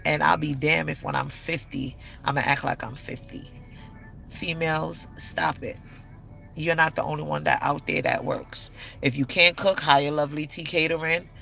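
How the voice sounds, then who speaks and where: A woman's voice: sits low, steady tone, normal speed, gravelly, sharp tone, low-quality recording. A woman in her thirties giving relationship advice in a blunt, candid, slangy way. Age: 30s. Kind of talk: casual advice talk to camera.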